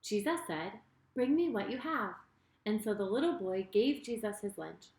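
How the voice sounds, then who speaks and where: A woman reading a children's story in an expressive, deliberate way, speaking directly to her young listeners. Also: medium pitch. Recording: roomy, echoing sound.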